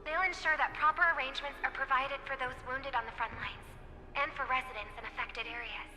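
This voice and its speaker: An actress playing a gentle, earnest young idol in a video game, speaking politely and formally with gracious sincerity. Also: female voice, high-pitched, steady tone, fairly quick, in a large hall, soft background music, low-quality recording. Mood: earnest, resolute. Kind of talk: scripted video game voice acting.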